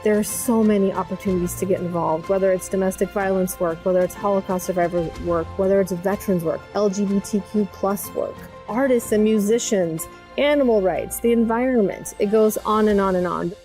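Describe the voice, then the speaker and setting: A woman's voice: mid-range voice, brisk, warm tone, faint music behind. A woman whose first language is Polish, speaking earnestly in a documentary interview about her work as a pro bono director.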